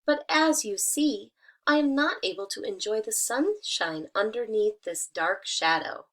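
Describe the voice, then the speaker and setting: A woman reads as a fussy storybook baboon with very formal diction. The words come out emphatically, with big swings in pitch, as in a fable told to children. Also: lively intonation, unhurried, a bit bright. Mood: annoyed.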